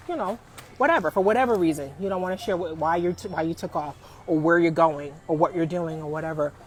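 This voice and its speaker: Lady in her 40s on a live stream, chatty and emphatic, keeps saying y'all. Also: lowish voice. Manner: animated and emphatic, addresses viewers as "y'all", laughs often. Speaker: career coach on a live stream. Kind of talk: casual live-stream advice chat.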